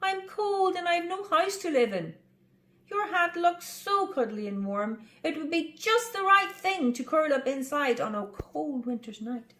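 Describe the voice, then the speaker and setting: A woman's voice: high voice, animated, measured pace, bright, forceful tone, reverberant, voice pushed up, full character voice. A woman voicing a tiny, miserable and meek female mouse in a story reading. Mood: sad, wistful.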